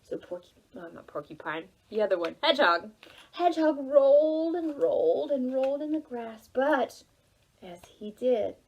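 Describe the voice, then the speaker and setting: A woman telling a story to preschoolers as a children's librarian, in a sing-song manner with drawn-out words. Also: sits high, lively intonation, darkish tone.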